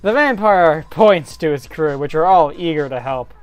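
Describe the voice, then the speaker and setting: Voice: medium pitch, expressive, moderate pace, soft background music. A narrator giving a dramatic reading of a comic fantasy story, sometimes stumbling and rereading phrases.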